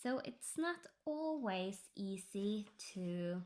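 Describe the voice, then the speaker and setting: A Norwegian woman, English her second language, chatting softly about her cross-stitch project and often dropping into a whisper. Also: mid-range voice, lively intonation. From Norway. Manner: slow, soft-spoken, drifting into whispers. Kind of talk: casual tutorial chat.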